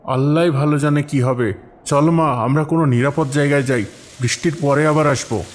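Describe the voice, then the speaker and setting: A man voicing a devout, patient farmer father in a cartoon story, calm and reassuring with a preaching manner. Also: on the high side, normal speed, close to an everyday voice. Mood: concerned.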